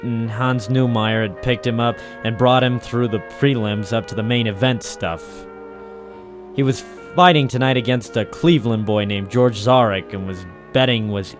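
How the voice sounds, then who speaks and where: An actor playing a world-weary American private eye in 1940s radio noir, clipped, sardonic and deadpan. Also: male voice, mid-range voice, lightly acted. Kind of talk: 1940s radio noir acting and first-person narration.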